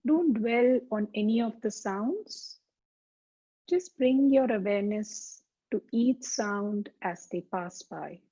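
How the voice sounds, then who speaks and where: A woman teaching yoga as a slow guided meditation, speaking calmly and deliberately with soothing pauses. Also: mid-range voice. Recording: dull, muffled lo-fi sound.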